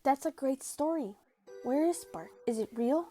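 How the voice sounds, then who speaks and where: A woman's voice: sits high, expressive, unhurried, mellow, soft background music. A woman playing an earnest, curious teenage fairy schoolgirl in a cartoon fandub. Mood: sheepish.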